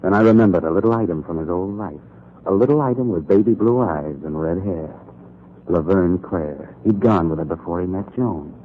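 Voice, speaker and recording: Man acting a low-voiced hard-boiled noir newspaperman, American. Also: normal speed, natural voice. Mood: brooding, wry. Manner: terse, world-weary, sardonic. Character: hard-boiled newspaper columnist, dogged, brooding, haunted by guilt. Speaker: narrator and lead actor. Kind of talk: 1940s radio noir acting and narration. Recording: muffled, noisy 1940s radio recording.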